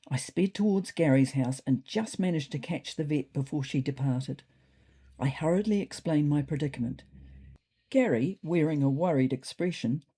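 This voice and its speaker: A woman's voice: deep voice, mellow, breathy edge. A woman voicing a thoughtful, slightly neurotic male ginger cat telling his diary in a dry, fretful way. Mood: hurried, fretful. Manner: dry, fretful, wordy understatement.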